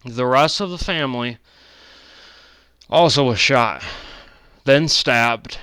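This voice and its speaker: A man's voice: mid-range voice, slow, bright, forceful tone, clear, resonant tone, dry recording. A man in his twenties giving a rambling, casual lecture over slides on his own channel, often breaking off into digressions and correcting himself. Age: 20s. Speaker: channel host.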